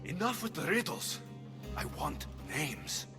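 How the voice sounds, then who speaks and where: A defiant warrior man on a hunt, in a game cutscene. His delivery is blunt and intense, with very lively intonation, and music plays clearly underneath. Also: high-pitched, unhurried. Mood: demanding. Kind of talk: dramatic video game cutscene acting.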